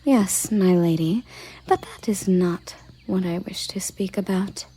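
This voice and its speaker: A woman voicing a dutiful, formal elven guard captain in a breathy, measured ASMR roleplay whisper. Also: medium pitch, dark tone, rough recording. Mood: deferential, concerned. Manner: formal, measured, matter-of-fact.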